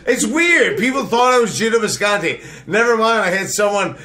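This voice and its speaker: A man in casual banter telling an animated, laughing story. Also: sits high, normal speed. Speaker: guest.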